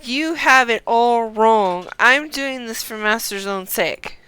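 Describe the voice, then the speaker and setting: Woman reading as an earnest, slow-spoken male fighter. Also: on the high side, sharp tone, smooth, dry recording, rough recording. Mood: earnest, defensive. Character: earnest male refugee fighter, devoted to his ailing master.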